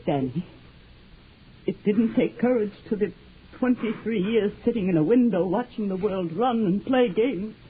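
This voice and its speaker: A woman's voice: mid-range voice, animated, moderate pace, a little hiss or hum, natural voice. An actress playing a grieving mother who pleads earnestly with her grown son, in 1940s radio acting. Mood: pleading. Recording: tinny lo-fi 1940s radio broadcast.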